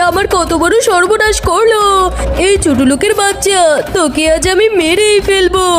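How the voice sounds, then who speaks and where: A woman playing a cruel, haughty tigress wife in a cartoon, scolding and threatening in an exclamatory way. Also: very high voice. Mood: furious scolding. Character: cruel, haughty tigress wife guarding her mango tree. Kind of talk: dramatic cartoon voice acting.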